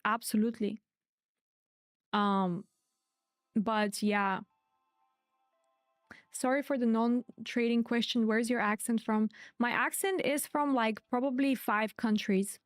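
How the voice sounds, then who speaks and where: A woman's voice: on the high side, steady tone, takes it slowly, long pauses, dry recording, studio quality, soft background music. A woman host giving live stream market commentary in a chatty way, repeating her key points. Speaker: crypto trading streamer.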